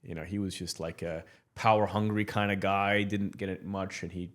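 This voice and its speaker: A man's voice: low voice, steady tone, moderate pace, a bit bright. Blunt, candid man in his thirties. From Morocco. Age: 30s. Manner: candid, blunt, with frequent restarts and fillers. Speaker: guest entrepreneur. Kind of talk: casual podcast interview.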